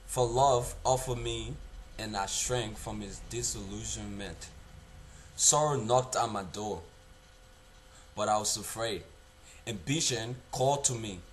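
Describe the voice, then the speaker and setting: A man's voice: fairly low pitch, a little hiss or hum. A young man playing a regretful man who reflects earnestly on his unlived life in an emphatic theatrical monologue. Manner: declamatory, emphatic, with dramatic pauses.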